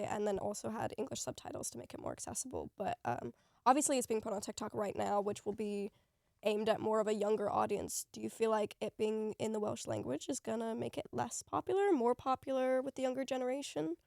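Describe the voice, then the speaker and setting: Creaky-voiced young woman hosting a podcast. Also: on the high side, brisk, darkish tone, no echo, studio quality. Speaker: podcast host. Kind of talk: casual chat.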